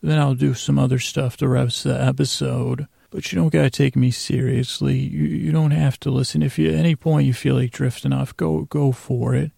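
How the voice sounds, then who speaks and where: A man with a heavily creaky, gravelly voice rambles through a bedtime podcast. His delivery is flat and droning, wandering off and circling back with halting repeats. The tone is dark and mellow. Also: mid-range voice, no room sound, studio quality. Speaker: podcast host.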